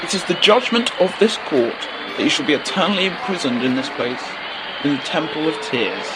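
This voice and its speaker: A man's voice: a little high, lively intonation, moderate pace, heavy background noise, natural voice. An actor playing a stern judge in an audio drama, solemn and declamatory as he passes sentence. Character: stern male judge pronouncing eternal sentence. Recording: voice under loud music and crowd.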